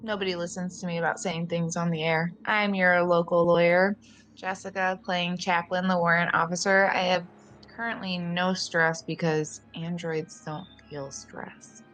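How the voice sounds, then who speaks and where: Female player, lower register, teasing and mock-smug, light music bed. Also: little pitch movement, normal speed, a bit bright. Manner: playful, mock-superior. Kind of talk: casual chat.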